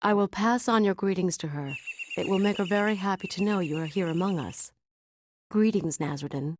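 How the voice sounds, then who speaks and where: An actress playing a young elf princess in a video game, composed and gracious, with measured, polite speech. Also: female voice, mid-range voice, darkish tone, slight background noise, close to an everyday voice. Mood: gentle, respectful. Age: young adult.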